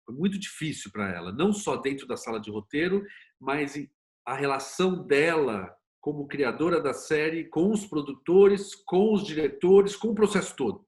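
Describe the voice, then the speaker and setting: Homem brasileiro de voz levemente aguda. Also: male voice, a little high, expressive, normal speed, darkish tone. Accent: Brazilian. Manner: explains with examples and acted-out quotes, rhetorical questions. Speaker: interview guest, series producer and screenwriter. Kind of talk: conversational interview, anecdotal storytelling.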